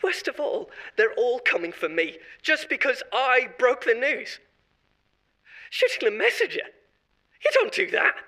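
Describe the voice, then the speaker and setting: A man's voice: very high voice, very animated, a little slow, sharp tone, exaggerated voice. A man voicing a panicked, self-serving male corporate marketer who shifts blame in a horror audio drama, in a wheedling, defensive monologue with nervous laughs. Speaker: voice actor.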